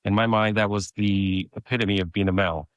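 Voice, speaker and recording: A man's voice: fairly low pitch, steady tone, a little fast, dry recording. A middle-aged man from Mexico whose first language is Spanish, speaking as a guest and often hesitating and restarting as he tells his story. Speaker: podcast guest. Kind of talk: personal storytelling in conversation.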